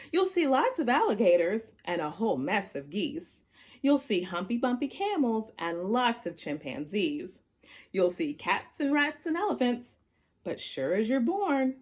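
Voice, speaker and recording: A woman's voice: on the high side, darkish tone. A woman teacher reading poetry aloud expressively for children.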